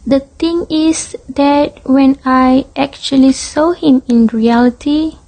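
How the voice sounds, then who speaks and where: Guarded, vain young date for amateur radio drama, female actor: high voice, slow, restrained delivery. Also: mellow, low-quality recording.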